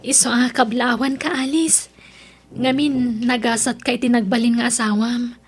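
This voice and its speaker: A woman's voice: on the high side, steady tone, moderate pace, a little hiss or hum, natural voice. A woman playing a struggling single mother who sells vegetables on the street, plaintive, in an Ilocano-accented audio drama. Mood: weary, wistful.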